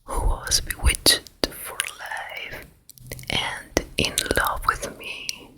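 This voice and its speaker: A woman whispering as a vain wicked queen. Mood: smug, scheming. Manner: hushed, slow, deliberate, menacing. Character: vain, jealous, wicked fairy-tale queen stepmother. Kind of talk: whispered ASMR storytelling roleplay.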